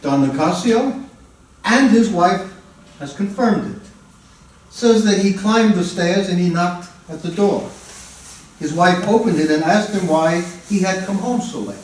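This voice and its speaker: An actor in a dramatic stage reading, playing a stern magistrate who questions and accuses insistently. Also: male voice, high-pitched, measured pace. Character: stern, accusing magistrate interrogating a murder suspect. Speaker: reader playing the magistrate in a play. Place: large indoor room at a college festival reading. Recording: echoey hall, far from the mic.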